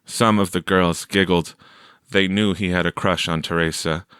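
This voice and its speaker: A man reading a story aloud as a scripted narration, with measured, clear phrasing. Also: low voice, fairly even intonation, fairly bright tone, dry recording, studio quality. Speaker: audiobook reader.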